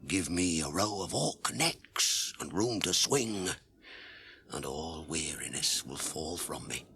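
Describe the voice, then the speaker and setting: An actor in a radio drama playing a proud, battle-hungry dwarf warrior, gruff and deliberate. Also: male voice, low voice, lively intonation, noisy background, heavily acted. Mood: weary, restless. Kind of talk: 1980s radio drama acting.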